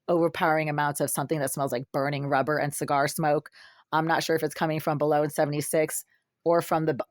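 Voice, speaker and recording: Low raspy lady ranting to her camera at home, long run-on sentences, dead dry sound. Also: no room sound. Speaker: vlogger. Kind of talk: solo monologue to camera. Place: her apartment, sitting in the dark.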